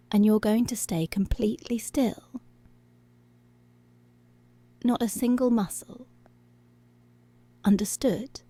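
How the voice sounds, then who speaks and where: A woman playing a dominant, teasing, seductive policewoman in an intimate ASMR roleplay, with a breathy, whispery voice and slow, deliberate, commanding delivery. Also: medium pitch, dark tone, dry recording, natural voice. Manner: slow, deliberate, controlling, drawn-out phrases.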